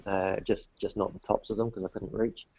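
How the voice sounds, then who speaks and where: A man's voice: on the low side, flat delivery, moderate pace, gravelly, darkish tone, husky, dry recording. A man in his thirties who grew up in the UK, answering interview questions in a casual, hesitant way with many restarts. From New Zealand, grew up in the UK. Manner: hesitant, many restarts and fillers, self-deprecating. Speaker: guest entrepreneur. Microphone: remote call, dull lo-fi and dry. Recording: dull dry online call audio.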